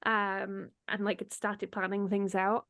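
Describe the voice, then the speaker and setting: A woman's voice: mid-range voice, level tone, measured pace, a bit bright, no echo, studio quality. A woman hosting a casual, informal chat, giggly and free with her swearing. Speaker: host of an audio drama behind-the-scenes chat.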